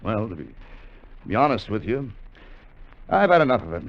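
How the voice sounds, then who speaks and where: An actor playing a weary, cautious salvage diver, earnest and measured, in a 1950s radio drama. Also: male voice, fairly low pitch, big pitch swings. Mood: weary, wary. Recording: dull, faintly noisy vintage radio recording.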